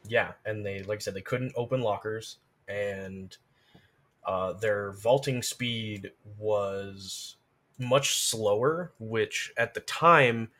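A man's voice: lowish voice, takes it slowly, fairly bright tone. A man talking as a podcast guest, casually explaining things in detail like someone who knows the subject well. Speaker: podcast guest, game lore and gameplay expert.

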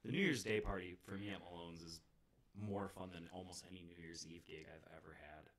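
A man's voice: low voice, fairly even intonation, fairly quick, a bit bright, a little hiss or hum. A man in his forties, a DJ, chatting casually among friends. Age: 40s. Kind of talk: casual chat.

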